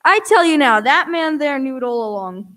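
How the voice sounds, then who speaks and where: A woman playing a hot-headed, mutinous pirate in a radio play, with scornful, rough sailor talk. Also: high-pitched, animated, normal speed, background noise. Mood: angry, scornful.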